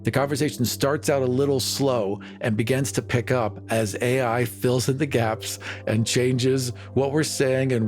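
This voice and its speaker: A man with a medium-pitched voice plays a tabletop game. He talks through his ideas in casual table talk. Music is heard behind him.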